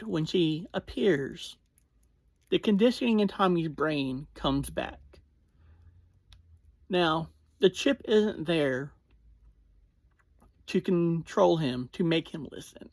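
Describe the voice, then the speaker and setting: An online reviewer giving a casual episode recap in short, halting phrases with pauses between them. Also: medium pitch, dry recording.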